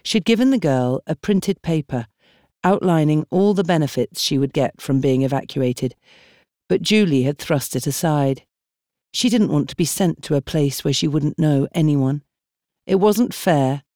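A woman reading a novel as an audiobook narrator, in measured, expressive storytelling. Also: deep voice, no echo, clean studio sound. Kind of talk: scripted literary narration. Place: dry studio.

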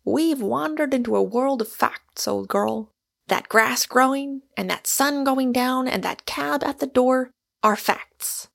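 A woman's voice: sits high, takes it slowly, creaky, a bit bright, pitched above the performer's own voice, heavily acted. A woman voicing an exuberant, argumentative Irishman, a storybook suitor who declaims with great emphasis. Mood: earnest, insistent.

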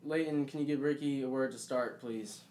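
A man's voice: mid-range voice, fairly even intonation, fairly quick, a little mellow. A man hosting a casual podcast, upbeat, introducing songs and steering the talk. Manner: upbeat, hypes songs, drives the show. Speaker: podcast host. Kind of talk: casual chat.

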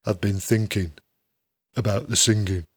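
A man's voice: lowish voice, fairly even intonation, a little slow, slightly rough, pitched below the performer's own voice. A man voicing a blunt, casual band manager with a Northern English accent and an offhand manner, in an audiobook.

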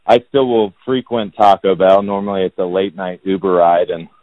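A man in his thirties from Indianapolis, a real estate agent talking about himself as a podcast guest in a monotone, self-deprecating way. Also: deep voice, normal speed, no room sound. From Indianapolis, United States. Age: 30s. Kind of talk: casual podcast interview. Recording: dull, lo-fi sound.

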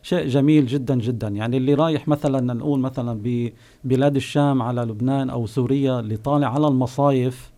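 A man with a Palestinian Bedouin accent, a guest recalling his home village, pausing often with long filler sounds. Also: medium pitch, steady tone, dark tone. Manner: unhurried storyteller, pauses often with drawn-out "ah" fillers. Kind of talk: reminiscing oral history on a call-in show.

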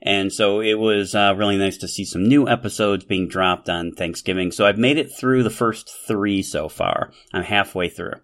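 Low-voiced male podcast co-host. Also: moderate pace, hardly any pauses, a bit bright. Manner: chatty, repeats words. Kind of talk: casual chat.

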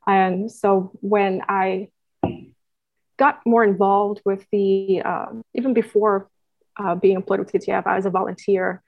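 A woman's voice: medium pitch, little pitch movement, measured pace, dry recording, phone-quality sound. Earnest woman from Puerto Rico, dry sound. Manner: earnest, many fillers and restarts. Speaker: guest, nonprofit staff member. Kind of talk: conversational interview answer.

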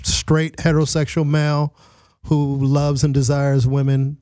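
A man's voice: a little high, level tone, a little slow, warm tone, no room sound. Joking man with a dry sound. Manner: joking, chuckles often. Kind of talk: casual group chat.

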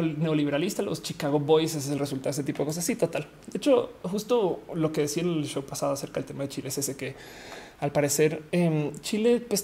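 A woman's voice: sits low, mellow. A woman from Colombia hosting a live talk show, chatting casually with her audience and rambling with many fillers and restarts. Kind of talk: casual commentary on a livestream. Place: lives in Mexico.

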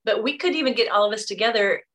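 A woman's voice: fairly high pitch, quick pace, fairly bright tone. A woman hosting a podcast interview, chatting casually and enthusiastically, rambling a little as she talks. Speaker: podcast host. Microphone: video call.

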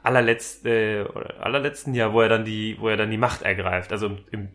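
A man's voice: fairly low pitch, flat delivery, brisk, fairly bright tone. Laid-back man co-hosting a casual podcast. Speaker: podcast co-host. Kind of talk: casual chat.

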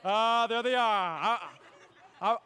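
A man's voice: very high-pitched, takes it slowly, bright, forceful tone, clear, resonant tone. A pastor from North Dakota preaching a humorous sermon, playfully quizzing his congregation with call-and-response. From North Dakota, USA.

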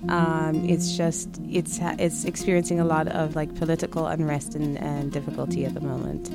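A woman's voice: low-pitched, flat delivery, normal speed, creaky, loud music behind the voice. A young woman from Zimbabwe, a guest on a camp radio station, answering questions with many fillers and restarts. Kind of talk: radio interview.

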